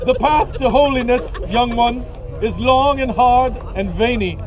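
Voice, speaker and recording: A man's voice: extremely high pitch, a little slow, highs cut off, pitched above the performer's own voice. A man voicing a stern, pious female headmistress, scolding and preachy, outdoors. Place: outdoors at a medieval combat event.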